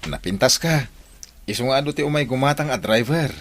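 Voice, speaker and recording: A man's voice: mid-range voice, brisk, a bit bright, slight background noise, natural voice. An actor playing a chatty, flirtatious male tricycle driver in a radio drama, with a Filipino accent. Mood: friendly, flirty.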